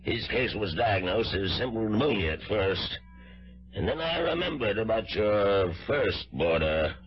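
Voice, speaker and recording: An actor playing a folksy, reassuring family doctor in a radio drama, explaining things in a casual, halting way. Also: male voice, on the low side, big pitch swings, slightly rough, exaggerated voice. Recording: dull vintage radio recording with music.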